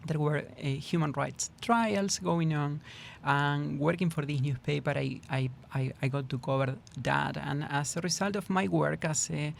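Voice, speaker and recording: A man's voice: on the high side, normal speed, creaky, hardly any pauses, slight background noise. A middle-aged man from Argentina with an Argentine Spanish accent, a guest therapist on a podcast who often repeats words as he searches for the phrasing. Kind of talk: podcast interview.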